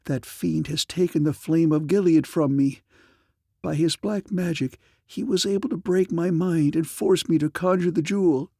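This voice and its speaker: A man's voice: fairly high pitch, normal speed, gravelly, warm tone, no echo, studio quality, voice pushed up. A man voicing a weakened, frightened captive woman in a fantasy story, speaking weakly and plaintively. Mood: anguished. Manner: weak, plaintive.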